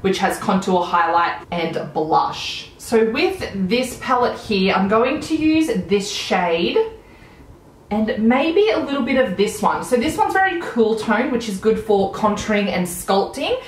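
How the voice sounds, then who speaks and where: A woman's voice: mid-range voice, expressive, measured pace, a little hiss or hum. Chatty Australian woman in a roomy space. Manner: chatty, enthusiastic product commentary while applying makeup. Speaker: beauty vlogger. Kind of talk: makeup tutorial chat. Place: small room at home, indoors.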